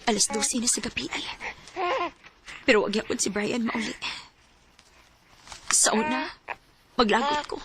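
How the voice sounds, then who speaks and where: Plaintive young wife for radio drama, played by a woman; high-pitched, long-suffering, slight background noise. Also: a little slow, pauses often. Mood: weary, anxious. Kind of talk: Filipino radio drama acting with narration.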